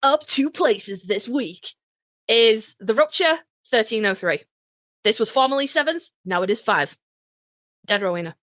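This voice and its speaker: A woman's voice: a little high, lively intonation, measured pace, fairly bright tone, no echo, clean studio sound. A woman with a Yorkshire accent co-hosting a podcast, chatting casually and bluntly about her opinions with plenty of swearing and dramatic emphasis. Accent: Yorkshire English. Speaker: podcast co-host. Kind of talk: casual opinionated fan chat, ranked countdown.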